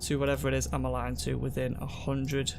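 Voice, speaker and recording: A man's voice: medium pitch, fairly quick, vocal fry, noisy background. Congested young man with a sore throat. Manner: relaxed, explanatory, talks to viewers. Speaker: gaming youtuber. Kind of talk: casual gameplay tutorial. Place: home room at a desk. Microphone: headset.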